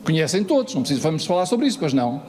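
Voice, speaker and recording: A man speaks to a municipal assembly in a high, lively voice. He swings his pitch widely, piling up rhetorical questions and repeated phrases with emphasis. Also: rapid-fire. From Lisbon, Portugal. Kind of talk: political speech in an assembly.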